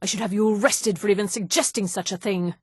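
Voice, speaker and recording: Fantasy game high elf woman, played by an actress: haughty, poised, articulate, theatrical, mid-pitched, dry studio sound. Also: fairly even intonation, quick pace, a little mellow, breathy edge, dry recording, natural voice. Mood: indignant. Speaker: video game voice actor. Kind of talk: acted video game dialogue.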